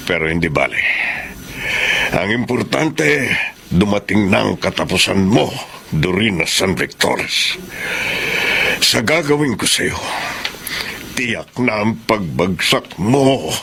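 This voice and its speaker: A gloating, scheming politician villain from a Filipino radio drama. He speaks with a Filipino accent in a gravelly, forceful voice that swoops with menace. He savours his revenge slowly. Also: lowish voice, very animated, slight background noise, heavily acted. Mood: gloating, menacing. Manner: slow, menacing, drawn-out delivery with villainous relish. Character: scheming, vengeful male politician villain, gloating over rivals. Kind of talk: Philippine radio drama acting.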